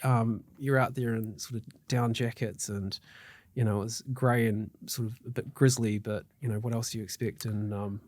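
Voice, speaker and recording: A man's voice: fairly low pitch, fairly even intonation, normal speed, a little mellow, dry recording, studio quality. A middle-aged man with a New Zealand accent, a guest chatting casually on a podcast, upbeat and loose with fillers and restarts, his throat slightly tickly. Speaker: guest mortgage broker.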